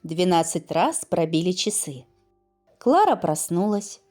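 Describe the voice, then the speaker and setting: A woman narrates a fairy tale for children with highly animated pitch. She takes her time, using dramatic pauses and an expressive storyteller's delivery. Faint music plays behind her.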